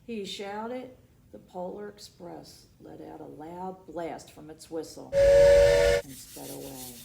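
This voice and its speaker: A woman reading a children's picture book aloud as storytime host, expressive and dramatic, pausing for asides and questions to her young listeners. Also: on the low side, gravelly. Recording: roomy echo, noticeable background noise.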